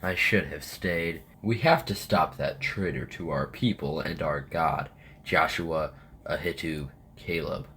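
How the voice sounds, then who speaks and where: A man's voice: rumbling low, unhurried, fairly bright tone, a little hiss or hum. A man acting a stern, resolute military captain burdened by guilt, with a commanding manner, in an amateur audio drama. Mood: remorseful, resolute.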